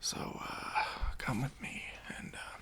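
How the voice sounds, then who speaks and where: A performer voicing a smug, self-important boss in a whispered ASMR job interview roleplay, condescending and awkwardly hesitant, with a breathy, gravelly whisper. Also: slow pace, close to an everyday voice. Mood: patronizing.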